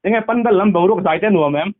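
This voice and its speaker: A man's voice: high-pitched, very fast. A man talking casually on a phone call, his voice coming through a tinny, narrow line. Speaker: phone caller. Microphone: telephone.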